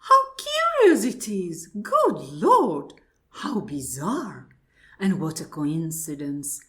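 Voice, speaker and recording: Woman playing genteel, wonderstruck lady, very measured. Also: on the low side, wildly swooping pitch, pushed and bright, exaggerated voice. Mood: amazed. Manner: formal, exclamatory, repetitive politeness. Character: genteel, wonderstruck married lady marvelling at coincidences. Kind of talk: absurdist stage dialogue played as radio drama.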